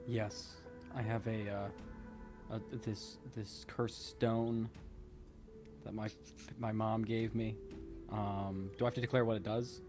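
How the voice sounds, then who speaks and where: A man playing a sheepish, defensive young recruit in improvised tabletop roleplay, halting and stammering awkwardly. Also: lowish voice, flat delivery, over music. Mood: nervous, sheepish.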